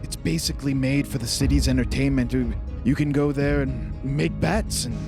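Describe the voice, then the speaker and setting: A man's voice: mid-range voice, steady tone, close to an everyday voice. Man acting a dazed, indignant old man. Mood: explaining. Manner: halting, bewildered, protesting. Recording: loud music under the voice.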